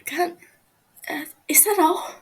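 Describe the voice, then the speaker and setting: A woman voicing a frightened, wounded captive man who stammers and pleads haltingly in an audio story. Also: very high-pitched, voice pushed up, exaggerated voice. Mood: pleading.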